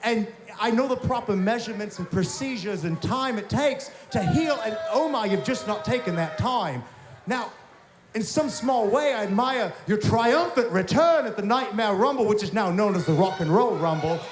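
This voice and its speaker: Cocky wrestler with a put-on British accent. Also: male voice, high-pitched, expressive, moderate pace. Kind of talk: wrestling promo. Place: wrestling arena, indoors. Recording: echoey arena with crowd noise.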